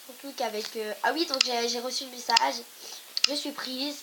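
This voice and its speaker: A woman's voice: a little high, moderate pace. Young woman with a cold, hissy mic. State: stuffy with a cold. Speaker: gaming YouTuber co-host. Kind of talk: casual let's-play chat. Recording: hissy home recording. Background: steady hiss with clicks.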